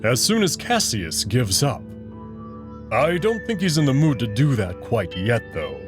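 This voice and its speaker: Man plays big gentle male wolf, heir to an alien throne. Slow, reassuring delivery, lively pitch, music underneath. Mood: subdued, reassuring. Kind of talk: visual novel character dialogue. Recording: music behind the voice.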